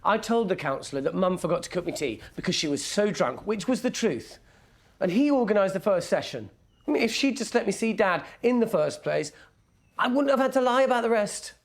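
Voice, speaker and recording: An actor playing a defensive, sulky young son, evasive and sardonic, in a naturalistic film drama. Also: male voice, sits high, animated, fairly quick. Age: young adult. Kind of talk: acted film dialogue, naturalistic.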